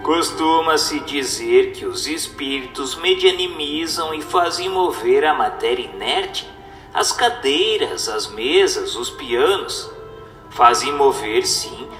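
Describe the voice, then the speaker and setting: A man acts out a solemn male spirit teacher, lecturing humankind as in a radio play. The voice sits fairly high and moves very slowly through grave, oratorical phrases. It rings with hall reverb over music. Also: sharp tone, exaggerated voice. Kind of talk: dramatized reading of a spiritual text, radio drama.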